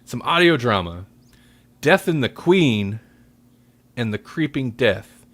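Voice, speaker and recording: A man's voice: medium pitch, very animated, takes it slowly, fairly bright tone. A man hosting a podcast, talking in a casual, rambling way with joking, self-deprecating asides. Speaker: podcast host.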